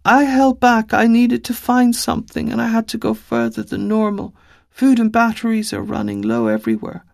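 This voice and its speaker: A man's voice: very high voice, lively intonation, normal speed, creaky, a little mellow, no room sound, studio quality, pitched above the performer's own voice, exaggerated voice. A man voicing a small girl of about ten who speaks earnestly and insistently, in a storybook reading.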